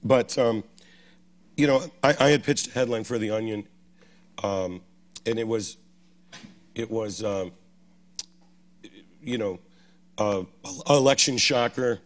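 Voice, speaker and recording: A man on a festival panel, speaking in a halting way with many restarts while losing his voice. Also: on the low side. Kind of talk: panel discussion on stage. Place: festival stage.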